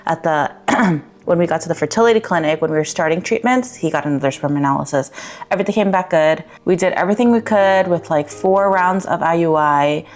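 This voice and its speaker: A young woman giving a candid personal vlog update, rambling a little with fillers, her voice slightly raspy. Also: fairly low pitch, fairly quick. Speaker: vlogger.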